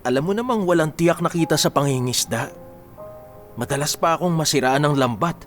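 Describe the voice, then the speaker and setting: Actor as stubborn, defensive working-class husband. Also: male voice, fairly high pitch, a little fast, soft background music, natural voice. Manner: defensive, dismissive. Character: stubborn, self-justifying poor husband, unrepentant explosives seller. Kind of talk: Filipino radio drama acting.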